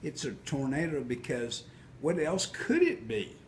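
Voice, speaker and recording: A man voicing an excitable, nervous little boy at bedtime in a storybook, with hushed, wondering exclamations. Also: a little high, unhurried, vocal fry, full character voice. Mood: guessing. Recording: roomy home recording with faint noise.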